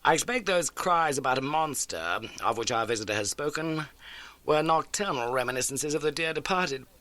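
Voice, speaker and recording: A man voicing a brisk, keen, masterful male detective in an audiobook, with emphatic, animated and incisive delivery. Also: a little high, dry recording, voice pushed up. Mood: incisive, wry. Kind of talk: audiobook character dialogue.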